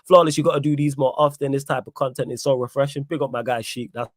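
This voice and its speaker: A young man hosting a casual live stream, giving shout-outs and reading out chat messages. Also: mid-range voice, steady tone, fast talker, dry recording, studio quality. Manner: hypes viewers, reads chat messages aloud. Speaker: host.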